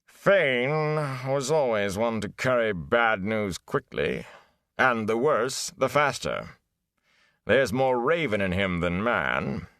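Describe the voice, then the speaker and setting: A man doing a booming, theatrical old gleeman. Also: wildly swooping pitch, measured pace, fairly bright tone, no echo, clean studio sound, full character voice. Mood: scornful. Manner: grand, booming, scornful asides. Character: flamboyant older male gleeman, theatrical and scornful.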